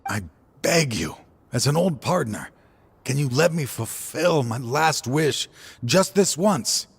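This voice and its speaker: An actor playing a weary, regretful older man turned selfish villain in a video game, with slow, brooding, sighing delivery and a husky voice. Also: a little high, sing-song, slight background noise, heavily acted. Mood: pleading, resigned. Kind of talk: dramatic video game voice acting.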